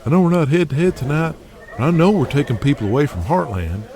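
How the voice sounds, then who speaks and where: A man's voice: a little high, very animated, fast talker, mellow. A man playing an anxious, deferential backstage assistant to a wrestling boss in an audio drama, hesitant and fretful. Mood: cautious. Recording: crowd noise behind the voice.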